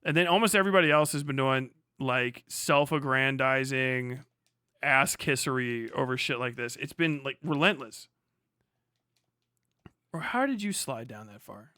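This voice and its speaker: A man's voice: mid-range voice, moderate pace, bright, forceful tone, clear, resonant tone, dry recording, clean studio sound. An American man chatting casually on a livestream while gaming, with irreverent, sarcastic and profane commentary. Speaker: live streamer commenting while playing a video game.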